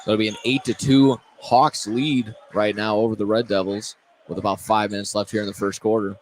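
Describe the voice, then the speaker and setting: Male play-by-play announcer, lowish voice, halting live basketball calls with self-corrections, faint gym noise behind. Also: level tone, poor audio. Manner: halting, repeats and corrects himself mid-call. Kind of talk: live basketball radio commentary. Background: gym ambience with bouncing basketballs.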